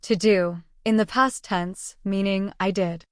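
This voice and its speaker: A woman teaching a language lesson, explaining phrases in an even, scripted way. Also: mid-range voice, unhurried, clear, resonant tone, no echo, clean studio sound.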